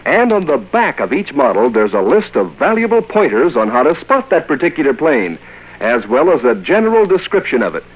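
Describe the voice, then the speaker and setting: An American man announcing and reading a chummy scripted sales pitch in 1940s radio style. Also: big pitch swings, brisk, breathy edge. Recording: dull, band-limited old radio show record.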